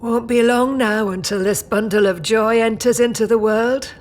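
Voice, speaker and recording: A woman's voice: fairly high pitch, steady tone, moderate pace, lightly acted. Woman acting a prim, proud old-fashioned British matriarch. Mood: fond. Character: old-fashioned proud British grandmother-to-be, stern yet doting. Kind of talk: audio drama acting.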